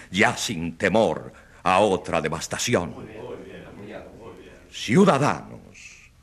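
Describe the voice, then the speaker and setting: Grandiloquent governor, slow forceful voice. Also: male voice, on the low side, exaggerated voice. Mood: solemn, rousing. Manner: solemn, grandiloquent oratory. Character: proud provincial governor on trial, grandiloquent politician. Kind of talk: radio drama acting, political speech.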